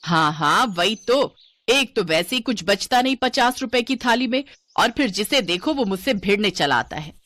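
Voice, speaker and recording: A woman voicing a greedy, bullying female eatery owner in a haughty, scolding manner for an animated moral story. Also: medium pitch, normal speed, close to an everyday voice. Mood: haughty, self-pitying.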